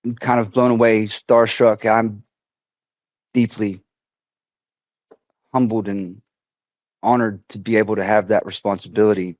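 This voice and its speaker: Halting man on a muffled call. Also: lowish voice, little pitch movement, darkish tone, dry recording. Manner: halting, restarts often, quotes others. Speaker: veteran speaking at a community council. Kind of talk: personal story told to an online forum.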